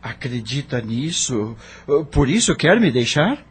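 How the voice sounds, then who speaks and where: A man's voice: mid-range voice, big pitch swings, a little slow, breathy edge, exaggerated voice. A man playing an earnest, grieving doctor and family man in an audio novel. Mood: troubled. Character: earnest grieving widowed doctor, loving husband and father. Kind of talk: audio novel dramatic acting.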